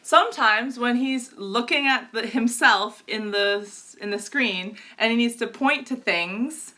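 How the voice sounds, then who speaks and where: A young American woman co-hosting a casual live stream, chatty and quick to laugh. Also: fairly high pitch, sharp tone. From United States. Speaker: co-host.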